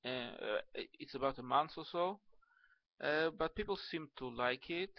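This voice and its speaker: A young man from Ukraine, a native Russian speaker, with a Russian and Hebrew accent, talking to camera in a halting, casual way with many fillers and restarts. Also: a little high, lively intonation, lo-fi sound. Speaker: video blogger.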